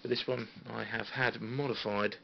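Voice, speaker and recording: A British man talks in a low, creaky voice, giving an informal show-and-tell in a halting, understated way. The sound is a dull phone recording with faint noise behind him. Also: steady tone. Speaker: CB radio hobbyist giving a tour of equipment. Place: small radio room at home. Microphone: handheld phone.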